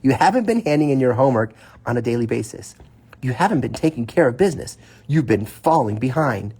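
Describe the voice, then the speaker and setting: A man's voice: medium pitch, expressive, a little fast, darkish tone, husky. A man voicing a firm, lecturing father bear in a children's storybook. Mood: stern lecturing. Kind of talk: children's storybook read aloud.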